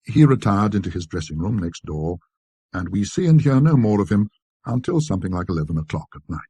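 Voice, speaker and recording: Husky, low-voiced man narrating a ghost story. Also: sing-song, dark tone, dry recording. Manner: precise, scholarly, measured storytelling.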